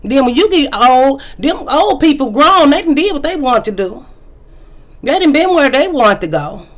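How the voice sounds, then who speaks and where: A woman's voice: high-pitched, big pitch swings, moderate pace. Woman lecturing with emphatic repetition. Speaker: vlog host. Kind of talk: opinionated commentary monologue.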